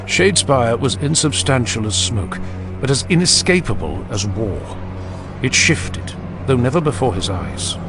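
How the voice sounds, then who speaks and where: A man giving scripted, dramatic narration of a fantasy audiobook with grave, deliberate phrasing. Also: mid-range voice, big pitch swings, over music.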